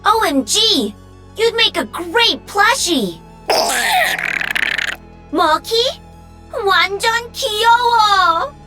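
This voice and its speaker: A woman's voice: very high voice, lively intonation, slow pace, long pauses, over loud music, exaggerated voice. A woman voicing a perky, cocky young female pro-gamer mech pilot for a video game. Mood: excited. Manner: bubbly, cocky, teasing.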